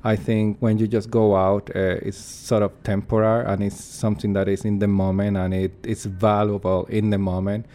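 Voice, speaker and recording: A man's voice: fairly low pitch, level tone, normal speed, hardly any pauses, mellow. A man, a performance artist, giving earnest and reflective interview answers while searching for his words.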